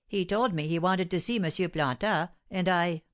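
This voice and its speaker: A woman's voice: fairly low pitch, close to an everyday voice. A woman reading aloud voices a sly, boastful male servant who drags out his story. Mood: gossiping. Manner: dramatic, drawn-out storytelling.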